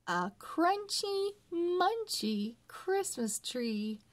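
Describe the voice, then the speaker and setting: A woman voicing an eager, wistful little girl in a children's Christmas storybook, slow, sing-song and emphatic. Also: very high-pitched, sing-song, voice pushed up, exaggerated voice. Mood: excited.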